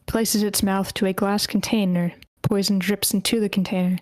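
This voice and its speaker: A woman reads screenplay action lines in a measured, level voice with very little rise and fall. Her sound is dry and close, and she now and then trips on a word during the table read. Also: mid-range voice, little pitch movement, darkish tone, no echo, low-quality recording. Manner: measured, slightly stumbling reading. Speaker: stage directions reader. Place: online meeting.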